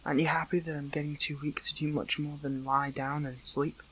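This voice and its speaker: A young man playing a captured hero in an audio roleplay, earnest, conflicted and lovelorn, with stammering, pleading, breathy delivery. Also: a little high, little pitch movement, fairly quick, fairly bright tone, a little hiss or hum, lightly acted. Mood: weak, wary. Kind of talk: dramatic audio roleplay acting.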